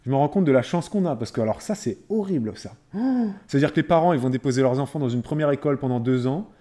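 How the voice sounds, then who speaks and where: Mellow-voiced man chatting casually on a livestream. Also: medium pitch, animated, quick pace. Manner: conversational, repeats phrases for emphasis. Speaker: live streamer reading viewers' chat. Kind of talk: casual live-stream chat.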